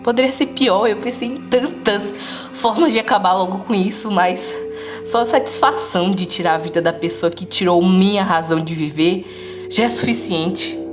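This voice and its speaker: A woman's voice: mid-range voice, moderate pace, close to an everyday voice. A woman playing a vengeful, obsessive female stalker in an amateur audio drama, bitter, accusing and scornful. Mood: coldly vengeful. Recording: loud music under the voice.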